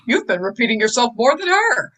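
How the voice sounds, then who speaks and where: A woman's voice: fairly high pitch, very animated, fairly quick, a bit bright. A woman playing a stern, sceptical male professor who challenges others in an amateur table read. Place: remote video call.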